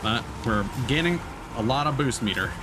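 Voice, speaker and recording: A man's voice: mid-range voice, lively intonation, normal speed, noisy background. A man livestreaming a video game, giving casual, explanatory commentary. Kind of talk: casual live gaming commentary.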